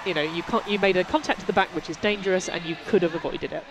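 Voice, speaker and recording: A woman's voice: lowish voice, very fast. A woman co-commentating live on roller derby, chatty, friendly and self-deprecating. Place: sports venue.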